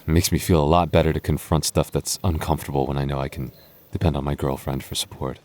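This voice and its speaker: A man performs a young boyfriend in an intimate audio roleplay. His voice sits low and soft. He stammers and hesitates as he talks, nervous yet openly affectionate toward his partner. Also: steady tone, fast. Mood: tender.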